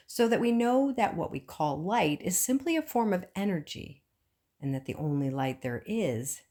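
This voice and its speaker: A woman reading a book aloud as scripted narration, with measured phrasing and frequent pauses. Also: deep voice, darkish tone. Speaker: audiobook reader.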